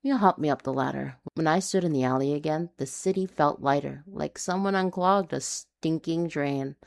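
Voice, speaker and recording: Low creaky woman reading noir urban fantasy, intense and confessional, dramatic storyteller. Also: moderate pace. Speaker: narrator.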